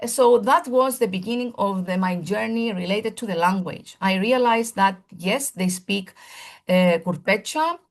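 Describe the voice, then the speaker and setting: A woman's voice: mid-range voice, unhurried. A woman, a primary school teacher, giving a seminar presentation in formal lecture phrasing, earnest and often restarting as she searches for words.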